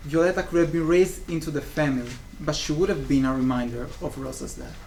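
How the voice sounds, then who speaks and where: A man's voice: fairly high pitch, fast talker, darkish tone. A young man reading scripted narration of a stage play. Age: young adult. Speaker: student narrator of a play summary. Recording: faint room noise.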